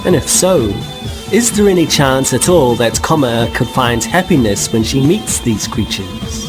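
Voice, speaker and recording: A man giving wry, measured scripted narration for an audio drama. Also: mid-range voice, lively intonation, over music.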